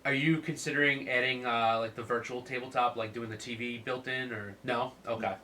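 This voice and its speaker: A man's voice: medium pitch, steady tone, brisk, sharp tone, recorded from a distance, slight background noise. A man hosting a casual chat, sounding stuffed up from a cold, with a laid-back manner. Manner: laid-back, lots of fillers and laughs. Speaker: podcast host.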